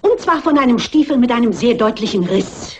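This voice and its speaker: An actress playing a shrewd, stubborn elderly lady detective in a German crime radio drama, brisk and precise. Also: a little high, animated, a little mellow, a little hiss or hum, natural voice. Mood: shrewd, confident. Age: older. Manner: brisk, self-assured, precise.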